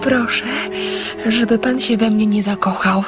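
A woman's voice: medium pitch, fairly even intonation, a little slow, natural voice. Actress doing coy young woman in radio drama, careful but playful, kinda hesitant, music behind her. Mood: coy, earnest. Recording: radio play with music underneath.

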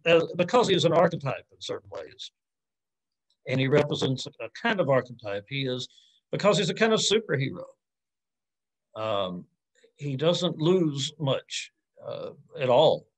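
A man in his forties speaking as an academic answering audience questions informally, thoughtful and halting, often hedging and rephrasing on a video call. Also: mid-range voice, poor audio. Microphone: computer mic on a video call.

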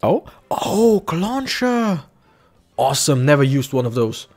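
Lively man commentating a game. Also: fairly high pitch, slow, faint music behind. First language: German. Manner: chatty, animated, self-correcting. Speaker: let's play streamer. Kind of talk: casual gameplay commentary.

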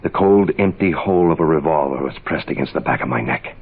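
An actor playing a terse private investigator, clipped and deadpan, in the style of 1960s radio noir. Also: male voice, a bass voice, fast talker, slightly rough, heavily acted. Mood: wry, defiant. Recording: hissy, phone-like vintage radio recording.

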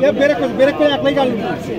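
Man, very high voice, loud and insistent, street argument, heavy crowd noise. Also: level tone, very fast. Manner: loud, insistent, talking over others. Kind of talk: heated roadside argument. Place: village market road outdoors. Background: crowd chatter.

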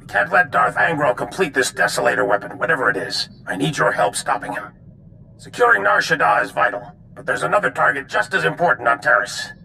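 A grave military commander in a sci-fi video game. His voice is heavily creaky yet bright and forceful, with an authoritative manner. Faint noise runs behind it. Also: a little high, lively intonation, fairly quick, full character voice. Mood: urgent, grave. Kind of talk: video game cutscene acting.

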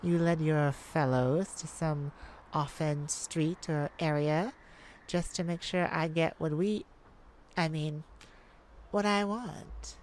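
A woman playing a cocky, flirtatious master thief in an ASMR romance roleplay, with teasing, drawn-out phrasing and dramatic pauses. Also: low voice, steady tone, darkish tone, slight background noise. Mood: playful.

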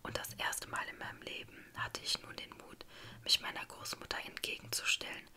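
Female narrator, whispered ASMR story reading, dramatic, very sharp and bright in tone. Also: brisk.

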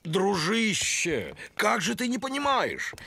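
A man's voice: high voice, huge pitch swings, slow, vocal fry, pushed and bright, slightly rough, dry recording, exaggerated voice. A man voicing a genial, grandiloquent old bird in a cartoon. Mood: genial, lofty. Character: genial, high-flown old bird, fond of beauty. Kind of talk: cartoon voice acting.

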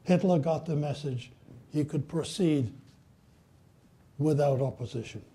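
An older man from Poland giving a measured, solemn history lecture at a lectern in a library room. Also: fairly high pitch, mellow. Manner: measured, solemn, reads quotations slowly. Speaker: guest lecturer. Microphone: at a lectern, filmed by a far camera.

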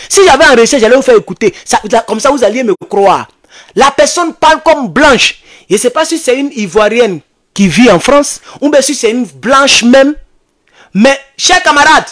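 An Ivorian man in his thirties speaking as an activist host, giving impassioned, urgent commentary to his online followers and repeating phrases for emphasis. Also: extremely high pitch. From Ivory Coast. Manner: emphatic, repetitive, urgent, animated. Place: small indoor home studio. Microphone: desk microphone close in front.

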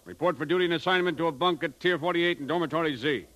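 A man's voice: fairly high pitch, fast, fairly bright tone, faint music behind, close to an everyday voice. An American man playing a bullying, bossy labour overseer in a 1950s radio drama. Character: bullying, gruff-tempered labour camp overseer.

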